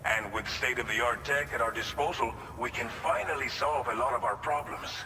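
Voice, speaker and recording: A polite male settlement staffer from a video game, played by an actor, courteous and a little hesitant. His mid-pitched voice rings with long reverb, and clear ambient noise sits around it. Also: normal speed, natural voice. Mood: polite, businesslike. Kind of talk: video game cutscene acting.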